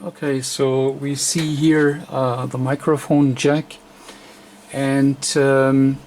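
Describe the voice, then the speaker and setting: A man talks through a hands-on technical check in a medium-pitched voice. His delivery is extremely slow and halting, broken into short pieces as he thinks aloud. Faint noise sits behind the voice. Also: little pitch movement, a little mellow. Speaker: electronics repair host. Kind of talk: unscripted technical demonstration.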